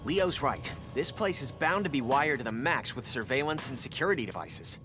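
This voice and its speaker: An actor playing a brainy, earnest teenage mutant turtle inventor in a video game, explaining things in a nerdy technical way. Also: male voice, medium pitch, very animated, moderate pace, music in the background, natural voice.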